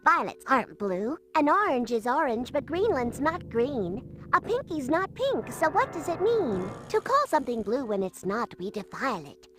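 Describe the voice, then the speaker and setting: A woman's voice: high voice, sing-song, moderate pace, hardly any pauses. A woman voicing a cute, sassy little sister creature in a cartoon game, with a showy delivery. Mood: mock recital. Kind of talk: animated game cutscene acting.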